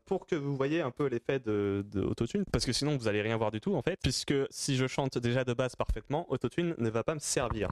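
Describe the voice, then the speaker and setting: A man with an average-pitched voice presents a casual tutorial. He explains informally and hesitantly, with a self-deprecating streak, as if answering viewers' questions off the cuff.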